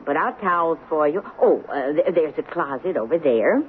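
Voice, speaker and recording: Elderly lady, serene, gently teasing, deliberate, motherly, radio play, thin muffled broadcast sound. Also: low voice, expressive. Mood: tender, hospitable. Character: serene, shrewd, motherly old lady of eighty-four. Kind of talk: radio drama acting. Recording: muffled narrow-band radio broadcast, noisy.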